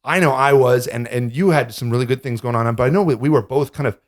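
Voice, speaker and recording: A man in his thirties, co-hosting a podcast in an animated, jokey casual chat. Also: mid-range voice. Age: 30s. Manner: animated, jokey, quick lists. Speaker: podcast co-host.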